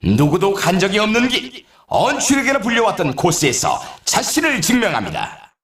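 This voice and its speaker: A man playing an excitable snowboard race announcer in a video game, with emphatic, formal broadcast delivery. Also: high voice, moderate pace, roomy sound, exaggerated voice. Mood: dramatic, hyping. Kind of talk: scripted video game sports commentary.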